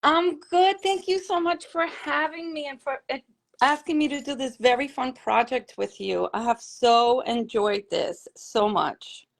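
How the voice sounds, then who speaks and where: A woman from Puerto Rico joins a live craft stream as a guest. Her voice has a gravelly, fry-tinged edge, and she chats casually with a self-deprecating, apologetic manner. She is heard through a computer mic on a video call. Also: sits high, measured pace.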